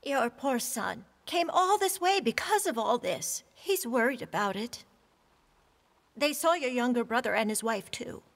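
A woman's voice: a little high, expressive, a little slow. A woman playing an older grandmother in a stage drama, worried and urging her family. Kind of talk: stage drama acting, English dub. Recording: reverberant stage hall.

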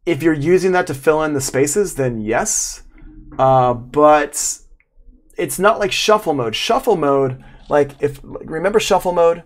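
A man in his forties talks like an instructor on a live online class. His delivery is informal and enthusiastic, in a voice a touch higher than most men's. He explains things casually as he demonstrates them. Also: a little slow. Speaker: audio production instructor. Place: small room at home, indoors.

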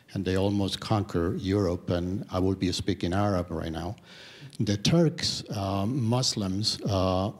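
A man from Spain in the audience, earnestly putting a long, slightly halting question to the speaker. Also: low voice. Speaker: audience member asking a question. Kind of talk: question from the floor.

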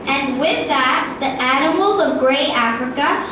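A woman's voice: high voice, unhurried. A girl of about ten or eleven reading scripted storytelling narration as the narrator of a school play. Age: child, about ten or eleven. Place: theatre hall stage, filmed from audience. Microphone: far camera. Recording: echoey school hall, distant, very noisy.

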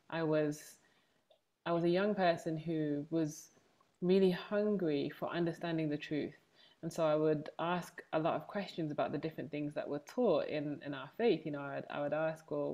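Young woman, English accent, low register, interview guest speaking conversationally about herself on a video call. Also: dark tone. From Manchester, England. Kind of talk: conversational personal testimony. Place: room at home, indoors, on a video call. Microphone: wired earphones on a video call.

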